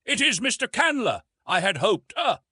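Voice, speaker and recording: Bumbling, polite older gentleman for a storybook played by a man, apologetic, high and animated. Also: moderate pace, breathy edge, no echo, clean studio sound, exaggerated voice. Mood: flustered. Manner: stammering, polite, flustered.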